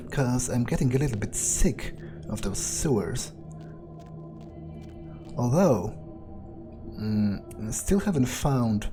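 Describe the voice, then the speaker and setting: A weary male vampire played by a man mutters his thoughts in a halting, unhurried way. He is casually profane and musing, talking to himself through a game, with music clearly playing behind him. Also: medium pitch. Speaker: gaming video creator playing in character. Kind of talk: in-character game playthrough monologue, thinking aloud.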